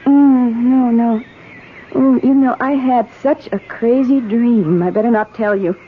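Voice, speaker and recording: An actress playing a feverish, childlike wife ravenous for meat, in a vintage radio drama. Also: female voice, a little high, measured pace, close to an everyday voice. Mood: dreamy, coaxing. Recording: dull, noisy old radio broadcast recording.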